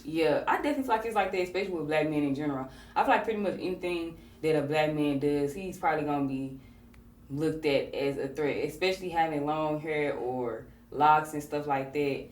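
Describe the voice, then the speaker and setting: Woman, low voice, casual podcast talk, roomy echo, distant mic. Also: a little fast. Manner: relaxed, conversational, many fillers. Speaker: podcast host. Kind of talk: casual conversation.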